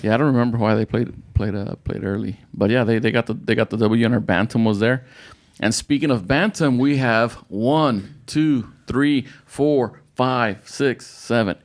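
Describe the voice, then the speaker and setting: A man's voice: mid-range voice, normal speed, hardly any pauses. An American man, a youth football coach, hosting a casual podcast with upbeat, repetitive chatter. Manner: upbeat, repeats himself, says outstanding a lot.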